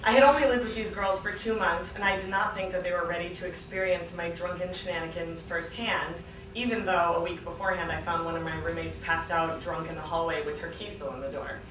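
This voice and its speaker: A young adult woman giving an animated, comedic live reading of a memoir to an event, performing the dialogue as she goes. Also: fairly low pitch, fairly quick. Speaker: guest reader at a book launch. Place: small theatre stage at a lectern, indoors. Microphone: distant camera. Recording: roomy, noisy, distant event recording.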